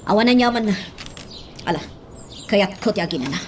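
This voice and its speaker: A woman's voice: mid-range voice, animated, fairly quick, a little mellow, slight background noise, natural voice. An actress playing a stern lady of the household in a radio drama, with a pointed, probing manner. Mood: weary, displeased.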